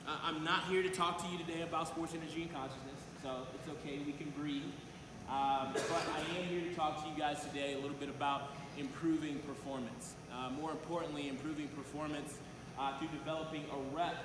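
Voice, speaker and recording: A man giving a motivational talk as a coach at a conference, addressing his audience directly with frequent hesitant pauses, in a large room. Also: fairly high pitch. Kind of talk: motivational public presentation. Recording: very echoey noisy hall, long reverb.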